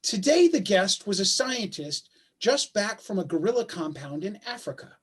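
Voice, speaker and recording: Man acting an anguished husky-voiced father, swinging pitch. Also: sing-song, moderate pace, fairly bright tone, full character voice. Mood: reading aloud, wry. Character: bitter, anguished father and estranged husband. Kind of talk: staged play reading. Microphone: video call.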